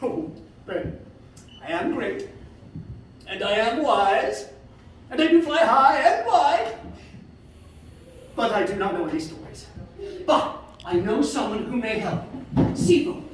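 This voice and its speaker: Brief: grand, self-satisfied eagle, woman performing, lively pitch. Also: slow pace, pauses often, a little hiss or hum. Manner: pompous, grand. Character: proud, vain great eagle, male. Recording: echoey room, mic far off.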